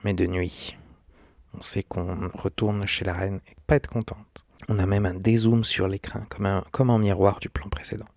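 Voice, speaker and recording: Low-voiced man narrating. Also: flat delivery, moderate pace, darkish tone, no room sound, studio quality. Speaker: video essayist, host. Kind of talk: scripted documentary-style narration.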